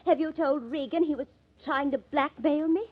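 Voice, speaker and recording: A woman playing a sharp-tempered, defensive actress suspected of murder in a 1950s radio mystery, dramatic and emphatic. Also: high voice, steady tone, normal speed, close to an everyday voice. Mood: indignant, pleading. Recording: muffled lo-fi old radio recording.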